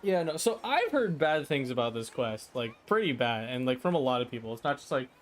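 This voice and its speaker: A man's voice: medium pitch, very animated, fairly quick. A man hosting a live game stream, talking in a casual, rambling, slangy way. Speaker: livestream host, gamer. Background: game music.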